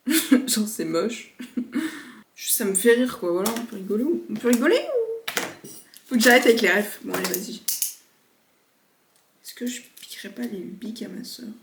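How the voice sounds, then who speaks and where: A woman's voice: fairly high pitch, sing-song, moderate pace, pauses often, noisy background. A woman in her twenties chatting casually to her viewers in a slangy vlog style. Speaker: vlogger, university student. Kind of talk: casual vlog chat to camera.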